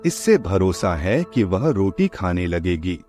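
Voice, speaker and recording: A man's voice: low voice, big pitch swings, slow, mellow, faint music behind, heavily acted. A man voicing a poor, grieving elderly widow in a moral storybook tale, humble and deferential. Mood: sorrowful pleading. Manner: pleading, deferential.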